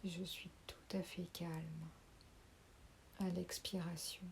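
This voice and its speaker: A woman leading a guided sophrology relaxation, speaking softly and calmly and sometimes dropping to a whisper. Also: on the low side, gravelly, slight background noise. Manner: slow, soft, calming, with whispered phrases. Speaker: sophrology teacher.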